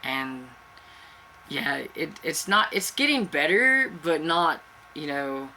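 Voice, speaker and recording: A woman with a cold, stuffy-nosed and a little raspy, chatting casually to camera as a book channel host and rambling with fillers and restarts. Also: deep voice, very animated, takes it slowly, bright, forceful tone, a little hiss or hum, low-quality recording.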